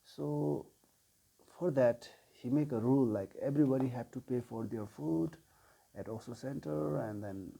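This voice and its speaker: A man in his forties from Nepal with a Nepali accent, a sound healer telling his life story in an unhurried way, pausing often. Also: medium pitch, gravelly, warm tone, poor audio. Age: 40s. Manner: unhurried, with frequent pauses and filler sounds. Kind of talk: personal life story told to camera.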